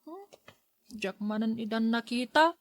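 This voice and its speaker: A woman's voice: on the high side, animated, unhurried, no room sound, studio quality. A woman playing a sulky young schoolboy who misses his parents, with an Ilocano Filipino accent, in an audio drama. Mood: plaintive.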